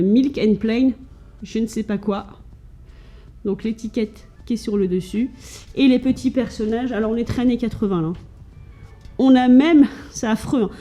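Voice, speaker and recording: A woman's voice: on the high side, warm tone. A woman from France chatting casually to camera at home, showing her thrift finds with many fillers and restarts. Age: adult with children.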